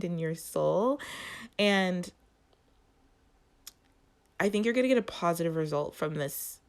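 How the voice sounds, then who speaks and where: A woman reads tarot cards for her audience, her voice nasal and stuffy from allergies. She sits a little low in pitch for a woman and keeps pausing and restarting, filling the gaps with "like" as she feels her way toward the next phrase. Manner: halting, searching for words, many "like" fillers. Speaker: tarot reader and channel host. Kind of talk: spoken tarot reading to viewers.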